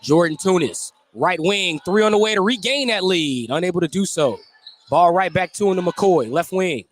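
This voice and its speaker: A man's voice: sits high, lively intonation, normal speed, a little hiss or hum. A man calling a live basketball game as the radio play-by-play announcer, describing the action in clipped running phrases.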